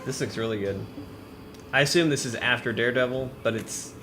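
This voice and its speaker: A man hosting a podcast in casual, informal chat, talking like an excitable fan. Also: mid-range voice, brisk. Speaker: podcast host. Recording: clearly noisy room recording.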